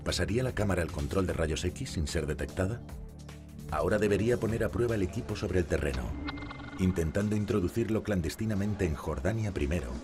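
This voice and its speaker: A man from narrating a documentary in calm, measured voice-over. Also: low-pitched. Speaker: undercover journalist narrator. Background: music bed.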